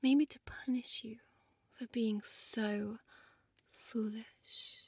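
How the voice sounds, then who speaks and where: A woman's voice: fairly high pitch, steady tone, very slow, breathy edge, no echo, full character voice. A woman playing a seductive, menacing female vampire in an intimate audio roleplay, toying with her prey in a teasing half-whisper and archaic wording. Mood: seductive, possessive.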